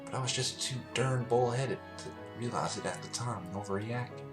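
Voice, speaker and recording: Man voicing a folksy, earnest farm mare, pitched up. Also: normal speed, roomy sound, music in the background, natural voice. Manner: folksy, frank. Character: plain-spoken, earnest female farm pony.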